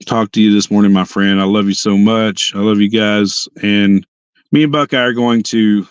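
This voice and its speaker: A hoarse young man hosting a casual livestream chat from his parked car, rambling and often restarting his sentences. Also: fairly low pitch, steady tone, moderate pace, no echo, muffled recording. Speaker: livestream host.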